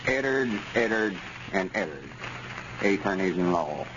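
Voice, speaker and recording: Pompous low-voiced Southern backwoods man. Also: expressive, unhurried. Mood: boastful. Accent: rural Southern US. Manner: pompous, boastful. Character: Lum, vain, self-important backwoods man, would-be lawyer. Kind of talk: 1930s radio comedy acting. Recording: scratchy, muffled 1930s radio recording.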